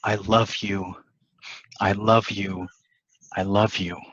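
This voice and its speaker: Husky cheating husband played by an actor, muffled sound. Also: male voice, lowish voice, level tone, takes it slowly, a bit bright, dry recording. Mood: defensive, pleading. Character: James, cheating husband, defensive, pleading. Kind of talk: stage play table reading.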